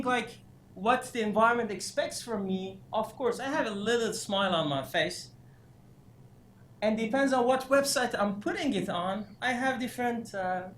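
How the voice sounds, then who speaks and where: Iran-raised man lecturing in an echoey room. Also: high voice. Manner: halting, many fillers and restarts, animated asides. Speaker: career development instructor. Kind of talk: workshop lecture. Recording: echoey room, faint noise.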